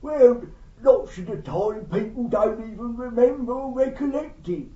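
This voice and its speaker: A man's voice: very high voice, measured pace, warm tone. A man voicing a cheeky, plain-spoken dead working-class Englishman with an English accent, chatting as a visiting spirit at a seance. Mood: matter-of-fact. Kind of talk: spirit speaking at a seance, conversational. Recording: echoey room, far from mic, dull.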